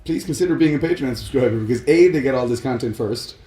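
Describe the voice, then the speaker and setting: A man's voice: medium pitch, expressive, fast talker, warm tone. Man chatting casually in an echoey room. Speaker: podcast co-host. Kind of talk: casual chat about films and games. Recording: roomy echo, faint music behind.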